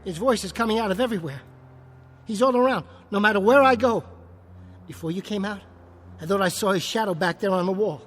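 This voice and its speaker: A man's voice: sits high, a little fast, pauses often, fairly bright tone. A male actor playing a nervous, haunted ventriloquist in a radio play, stammering and insistent. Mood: anxious, haunted. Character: jittery, haunted male ventriloquist pleading for company.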